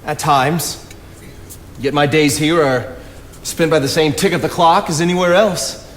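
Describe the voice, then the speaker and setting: Man playing philosophical hermit, formal measured speech, stage play, long theatre reverb, background noise. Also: a little high, lightly acted. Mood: reflective. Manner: measured, lofty, formal phrasing. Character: solitary, philosophical male naturalist writer leaving his woodland cabin.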